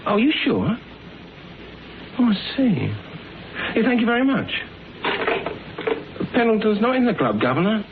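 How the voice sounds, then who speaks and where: An actor playing a cheeky, sceptical young detective's assistant in a classic radio drama, brisk and informal. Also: male voice, sits high, big pitch swings, full character voice. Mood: brisk, polite. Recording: noisy, narrow old radio recording.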